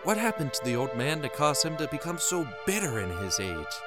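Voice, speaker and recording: A man's voice: a little high, very animated, a little fast. A man delivering dramatic scripted narration as the narrator of a story. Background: music.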